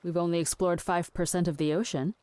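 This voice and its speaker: An actress playing an earnest, pedantic woman researcher with fringe theories in a scripted audio drama, speaking in a measured, matter-of-fact way. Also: fairly low pitch, fairly even intonation, warm tone, no echo, lightly acted.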